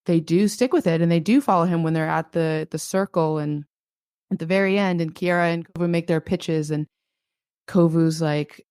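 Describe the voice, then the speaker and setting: A woman with a notably low voice and a gravelly fry, speaking as a podcast guest. She muses out loud, circling her points with plenty of filler words. The sound is dry and close. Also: normal speed, no room sound. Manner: reflective, thinks aloud with many fillers. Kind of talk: casual podcast discussion.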